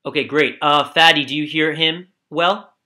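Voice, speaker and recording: A man's voice: a little high, fairly even intonation, normal speed, sharp tone. A man moderating an online discussion in a friendly, casual, upbeat way. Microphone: video call.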